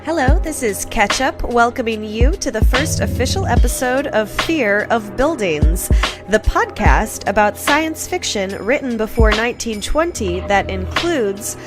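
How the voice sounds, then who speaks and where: Woman podcast host over loud music. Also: fairly high pitch, expressive, measured pace. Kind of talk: scripted podcast introduction.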